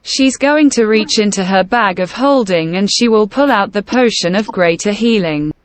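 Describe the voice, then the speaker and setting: A woman playing a tabletop game, calmly narrating what her character says and does. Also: medium pitch, normal speed. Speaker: player.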